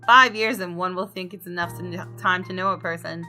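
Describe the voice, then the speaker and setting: A woman's voice: lowish voice, fairly even intonation, brisk. A woman gamer reading a visual novel's story aloud expressively, with casual commentary of her own. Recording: faint game music behind. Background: soft game music.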